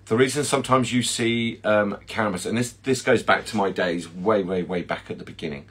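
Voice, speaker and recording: Low-voiced, chatty middle-aged man. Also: fairly quick, husky. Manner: chatty, digressive, self-correcting. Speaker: chocolatier teacher and host. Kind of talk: casual livestream chat and explanation. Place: small indoor work kitchen. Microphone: phone at arm's length. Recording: steady air-conditioning hum, kitchen room noise.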